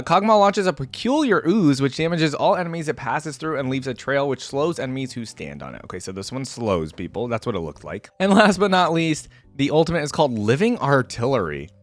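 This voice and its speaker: A man's voice: mid-range voice, big pitch swings, brisk, few pauses, no room sound. Chatty man reacting with curiosity. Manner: curious, chatty, many likes and ums, laughs often. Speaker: gaming reaction channel host. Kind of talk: casual reaction commentary.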